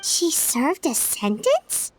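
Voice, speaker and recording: An actress voicing a small, eager female companion creature that talks chirpily and apologizes quickly. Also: high voice, huge pitch swings, slight background noise, heavily acted, low-quality recording. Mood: chirpy.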